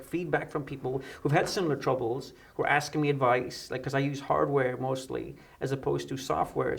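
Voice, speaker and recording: A man's voice: mid-range voice, vocal fry. A middle-aged man from the United Kingdom telling anecdotes as a guest in a conversational interview. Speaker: interview guest, electronic musician. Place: dark indoor studio set, seated.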